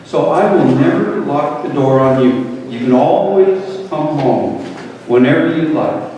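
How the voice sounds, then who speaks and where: A man's voice: medium pitch, lively intonation, unhurried, dark tone, lightly acted. A man playing a devout, forgiving father, earnest and preachy, in a dramatic reading of a parable. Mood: earnest, tender. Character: devout, grieving, forgiving father pleading with his wayward son. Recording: very echoey hall from far off, faint noise.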